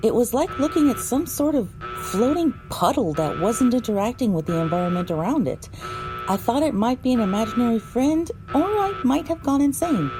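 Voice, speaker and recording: A woman reading scripted first-person audiobook narration in a measured, dramatic storytelling manner. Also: mid-range voice, natural voice. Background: music.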